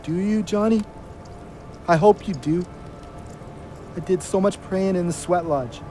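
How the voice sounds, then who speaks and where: A man's voice: high voice, measured pace, mellow, faint music behind. An actor playing a troubled, defensive young man just out of jail, in a naturalistic audio drama. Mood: scared, despairing. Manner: bitter, sarcastic, coarse.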